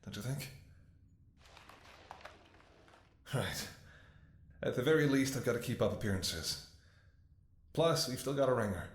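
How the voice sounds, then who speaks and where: A man performs a devoted, worried doctor speaking intimately to his ailing lover. His delivery is emotional and halting, with tender sighs, at a middling male pitch. The audio roleplay has a clearly echoey, roomy sound. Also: expressive, natural voice. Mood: tender, tearful. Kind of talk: intimate scripted ASMR roleplay acting. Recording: echoey room.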